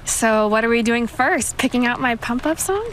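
A woman's voice: a little high, animated, moderate pace, fairly bright tone, a little hiss or hum, rough recording. An actress playing a wisecracking, sarcastic teenage girl in a film scene, wry and teasing.

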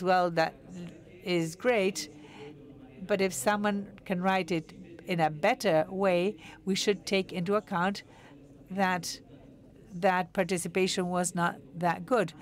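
A woman giving live interpretation of a technical conference talk, measured, with pauses and self-corrections. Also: on the low side.

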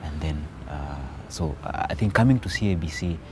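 A man answering interview questions outdoors, thoughtful and pausing often. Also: very deep voice, a little hiss or hum. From Kenya. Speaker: theology student. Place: outdoors by a wall.

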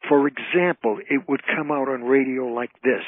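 A man's voice: mid-range voice, moderate pace, slightly rough. A man chatting casually as a guest on a radio interview, speaking over a phone line. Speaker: radio show guest, entertainer and trivia author. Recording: tinny, band-limited phone line.

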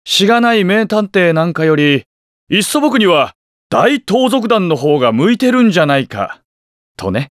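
An actor playing a flamboyant, self-assured detective in a game, speaking in a theatrical and boastful way. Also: male voice, high-pitched, very animated, normal speed, no room sound, clean studio sound. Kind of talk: game voice clip. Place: studio.

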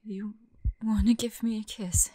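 A woman voicing a tormented young monster girl fighting bloodthirst, tender and frightened, halting and often dropping into whispers in an intimate audio roleplay. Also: medium pitch, flat delivery, warm tone, dry recording, studio quality, lightly acted. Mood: scared, pleading.

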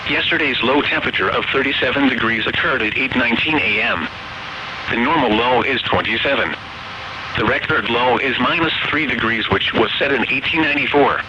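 A synthetic male voice with an American accent reads scripted weather bulletins as an announcer, formal, measured and impersonal. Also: medium pitch. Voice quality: synthetic text-to-speech voice. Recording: dull, noisy weather radio broadcast.

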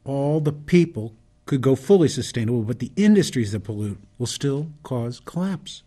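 Man playing a husky, mellow environmental rebel. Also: medium pitch, expressive, normal speed. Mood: earnest protest. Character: worried, idealistic male environmental rebel in a radio drama.

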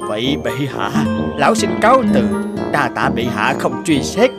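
A man's voice: medium pitch, big pitch swings, normal speed, loud music behind the voice. A man playing a humble, grumbling old peasant in a cartoon, speaking deferentially. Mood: humbly pleading.

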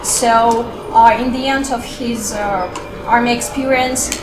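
Woman translating, lots of uh's, echoey hall w loud background. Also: on the high side, fairly even intonation, slow, noisy background. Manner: hesitant, searching for words with many fillers. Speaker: interpreter. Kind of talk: live interpreting.

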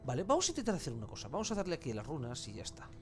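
A man's voice: on the high side, huge pitch swings, racing, music in the background. A man with an accent from Spain giving casual, colloquial gameplay commentary with mild swearing. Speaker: gamer, let's play host.

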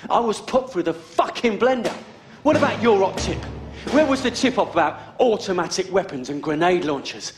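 Defiant, echoey British cop. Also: male voice, high-pitched, expressive, moderate pace, background noise. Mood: indignant. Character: defiant, hot-headed maverick police officer. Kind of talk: video game cutscene acting. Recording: echoey room sound.

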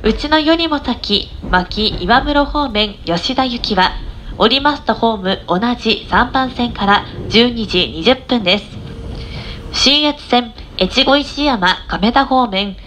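A woman's voice: a little high, animated, takes it slowly, fairly bright tone, poor audio. A woman conductor giving formal, polite announcements on board a train. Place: inside a moving train, over the speakers. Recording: train cabin announcement with vehicle noise. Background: faint train running noise.

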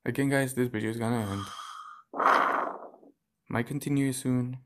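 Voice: medium pitch, fairly even intonation, normal speed, a little mellow. A podcast host chatting casually to viewers in a vlog.

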